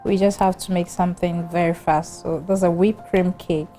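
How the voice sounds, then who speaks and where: A woman from Ghana with a Nigerian-tinged Ghanaian English accent, a baker giving short, soft-spoken answers as a guest in a TV studio interview. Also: lowish voice, steady tone, normal speed, soft background music.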